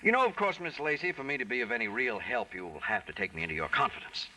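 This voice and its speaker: An actor playing a smooth, sly con man posing as a private detective in a 1940s radio mystery. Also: medium pitch, lively intonation, fast talker, bright, forceful tone. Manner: sly, smooth-talking, hesitating coolly. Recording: noisy vintage 1940s radio recording.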